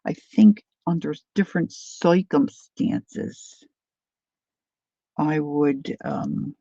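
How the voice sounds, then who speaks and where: Slow, gravelly woman with a Philadelphia accent. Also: sits low, warm tone. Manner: chatty and rambling, reads out viewer comments. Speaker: craft channel host. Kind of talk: casual livestream craft chat. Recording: dull lo-fi livestream audio.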